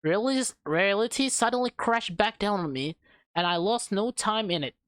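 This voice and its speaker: A woman reading visual novel text aloud as a streamer, stumbling over words and breaking into excitable exclamations. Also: medium pitch, normal speed, dry recording, studio quality.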